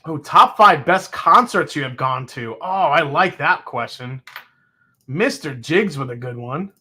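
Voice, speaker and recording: Host, male, 40s: excitable, chatty live-stream talker with a somewhat high, bright voice. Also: slightly rough. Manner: chatty and excitable, thinks aloud, quick exclamations. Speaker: host of a whiskey tasting show. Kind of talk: casual live tasting chat, reading viewer comments.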